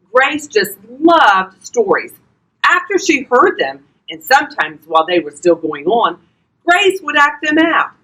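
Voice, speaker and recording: An older woman reading a children's storybook aloud expressively, talking directly to young listeners in a husky voice. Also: mid-range voice, a bit bright, low-quality recording. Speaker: volunteer grandparent reading to children.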